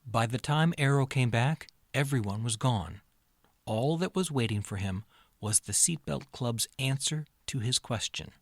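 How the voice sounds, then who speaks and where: A man's voice: medium pitch, lively intonation, a little slow, no room sound. Male storybook narrator, dry close sound. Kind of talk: scripted children's story narration.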